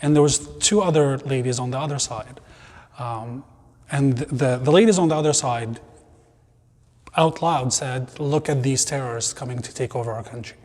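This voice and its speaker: Young man born in Syria, reflective interview guest, middle pitch, lingering hall reverb. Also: normal speed, a little mellow. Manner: reflective, earnest, with frequent restarts. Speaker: church member, guest. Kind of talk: conversational interview.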